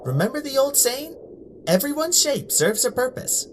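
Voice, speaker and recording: A woman's voice: high-pitched, very animated, moderate pace, slight background noise. A woman voicing a small, dry-witted, touchy male robot in a motion comic, wry and put-upon. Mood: indignant. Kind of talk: motion comic character acting.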